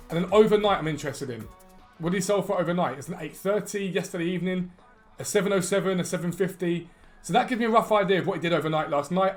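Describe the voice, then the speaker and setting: A man talks fast and casually through a gaming tutorial, his voice a little worn and hoarse. He sits fairly high in pitch and rattles off numbers in quick runs. Light background music plays softly under him. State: losing his voice. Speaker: gaming video creator. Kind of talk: screen-recorded gaming tutorial.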